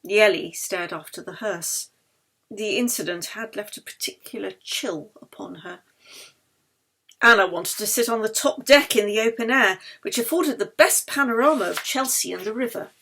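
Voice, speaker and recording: A woman reading her own novel as expressive audiobook narration. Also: medium pitch, moderate pace.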